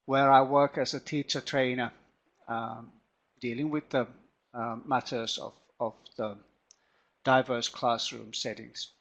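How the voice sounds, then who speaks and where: A middle-aged man with a Finnish accent gives an online lecture as a teacher trainer, speaking haltingly and often repeating words. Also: mid-range voice, fairly even intonation, vocal fry, fairly bright tone. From Finland. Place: home study room. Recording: muffled lo-fi online call audio.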